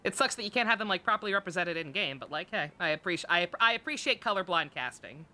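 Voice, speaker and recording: A woman's voice: fairly low pitch, expressive, fast talker, pushed and bright. A woman live streaming a game with casual, candid commentary, rambling and stammering, with frequent swearing. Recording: game music behind the voice.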